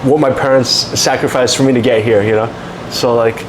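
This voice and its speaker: Podcast host, young man, rambling and hesitant, everyday pitch, AC hum in the room. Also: fairly even intonation, moderate pace. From Canada. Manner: hesitant, many fillers, laughs often. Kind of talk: casual podcast chat. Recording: noisy room with air conditioning hum.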